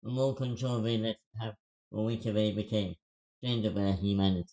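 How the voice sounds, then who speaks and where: A man's voice: fairly low pitch, little pitch movement, fairly quick, dark tone, lightly acted. A man playing a stubborn, self-sacrificing survivor in a screenplay table read, terse and understated. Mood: firm.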